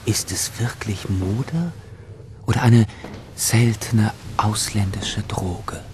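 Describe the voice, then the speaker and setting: A man narrating a radio drama from a script in a hushed voice. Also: fairly low pitch, slow pace, a little hiss or hum.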